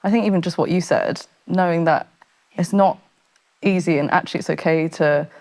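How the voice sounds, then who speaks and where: A woman from Britain with a low voice talks as a guest on a podcast. She rambles in a chatty, self-deprecating way, full of fillers. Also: steady tone, normal speed, a little mellow. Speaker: guest, fashion photographer. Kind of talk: casual podcast conversation.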